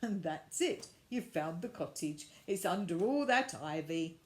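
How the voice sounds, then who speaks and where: A woman's voice: fairly low pitch, expressive, a little slow. A woman voicing a friendly, helpful man in a children's story, genial and obliging.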